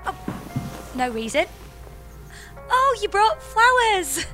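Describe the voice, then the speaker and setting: A woman's voice: very high-pitched, very animated, slow, pushed and bright, faint music behind, heavily acted. A woman playing a lone space traveller in an audio comedy, exasperated, sarcastic and given to swoony flirting. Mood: flirty. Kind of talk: scripted audio comedy sci-fi drama.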